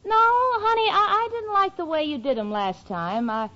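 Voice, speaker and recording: A woman's voice: very high-pitched, moderate pace, sharp tone. A woman playing a sensible, exasperated young roommate with dry, sarcastic timing in a noisy, muffled 1940s radio sitcom. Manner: dry, sarcastic, sharply articulated comic delivery. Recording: muffled, noisy old-time radio broadcast.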